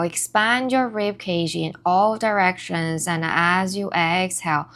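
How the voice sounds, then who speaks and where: A woman leading a guided body scan meditation as an instructor, giving soothing, measured instructions in short phrases with pauses between them. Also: lowish voice, fairly bright tone. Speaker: meditation and somatic movement instructor.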